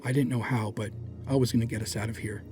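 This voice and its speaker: Man acting as a proud, brooding dark warrior over music. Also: mid-range voice, steady tone, fast talker, natural voice. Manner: formal, grave, theatrical phrasing. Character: proud, hot-tempered dark warrior, fiercely protective brother. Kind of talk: first-person dramatic audio drama narration.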